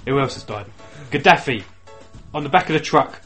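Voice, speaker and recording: A man's voice: mid-range voice, animated, moderate pace, bright, forceful tone, faint music behind. A man from England co-hosting a podcast, mumbling in slangy, sweary casual banter. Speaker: podcast co-host.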